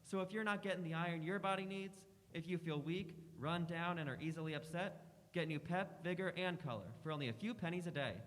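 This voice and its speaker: A man's voice: a little high, a little fast. A man reading a scripted advertisement in the style of a 1940s radio announcer. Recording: hollow, reverberant room.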